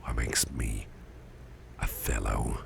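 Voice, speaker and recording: A man plays a gentle, drowsy businessman boss in an intimate ASMR roleplay. His voice is a rock-bottom, crackling rumble that often thins into a near whisper. He rambles slowly and haltingly, as if a little tipsy and close to sleep. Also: little pitch movement, heavily acted. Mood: uneasy, musing. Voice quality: breathy, half-whispered gravelly rumble.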